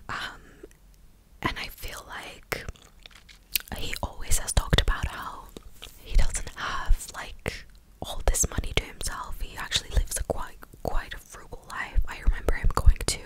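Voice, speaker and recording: Young woman whispering close to the mic. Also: poor audio. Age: young adult. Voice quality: breathy, unvoiced whisper. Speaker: ASMR content creator. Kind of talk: whispered ASMR, casual recap of news. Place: small living room, indoors. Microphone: close desktop condenser microphone.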